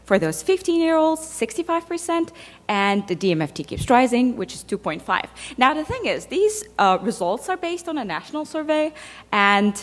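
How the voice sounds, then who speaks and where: A woman's voice: fairly high pitch, very animated, moderate pace. A woman from Egypt, a dentist giving a conference talk, speaking conversationally with fillers and playful asides. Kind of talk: conference presentation. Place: large conference hall. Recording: reverberant lecture hall.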